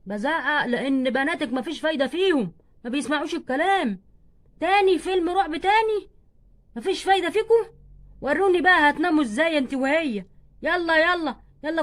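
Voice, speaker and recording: A woman's voice: high voice, fairly bright tone. A woman voicing a stern, exasperated father in doll play, nagging and scolding with an Egyptian accent.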